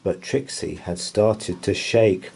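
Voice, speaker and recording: A man's voice: lowish voice, takes it slowly, creaky, darkish tone, breathy edge. A man reading a children's bedtime story aloud in rhythmic verse, with a theatrical, dramatic delivery. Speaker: storybook reader.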